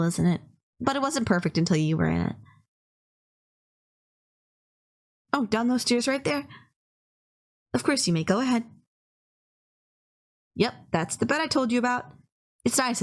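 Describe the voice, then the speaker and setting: A woman's voice: mid-range voice, lightly acted. A woman playing a polite, gushing young female kidnapper in an intimate anime-style roleplay, earnest, apologetic and rambling, obsessively in love. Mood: proud, welcoming.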